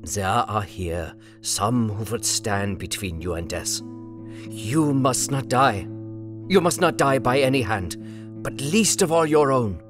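A man voicing an elderly, fatherly doctor who speaks slowly and solemnly in a dramatized audiobook. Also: medium pitch, lively intonation, music in the background. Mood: impassioned. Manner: slow, solemn and impassioned.

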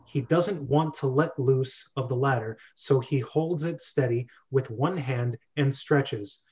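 A man's voice: mid-range voice, a little slow, darkish tone, slightly rough. Man reading stage directions, dull sound. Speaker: host and narrator of a script read-through. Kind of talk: reading screenplay directions amid casual chat. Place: bedroom at home.

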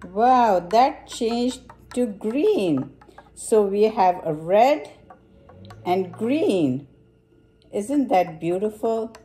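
Slow, mellow woman teaching children. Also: medium pitch, animated. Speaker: children's library science presenter. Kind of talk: instructional demonstration for children. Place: home kitchen, indoors.

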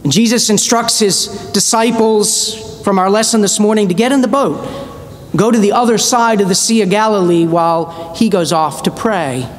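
A middle-aged male priest giving a measured, earnest sermon from a church pulpit, pressing on key words with slow emphasis. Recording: reverberant church with faint rumble.

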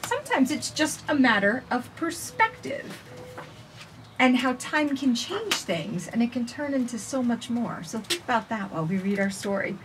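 A woman in her forties reading a picture book aloud for children with expressive, sing-song phrasing, recorded outdoors on a porch. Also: on the high side, normal speed. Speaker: children's storytime reader. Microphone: camera a few feet away. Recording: distant camera mic, faint outdoor noise.

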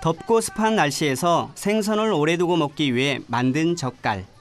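A man giving calm, measured scripted voice-over narration for a travel documentary. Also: on the high side. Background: background music.

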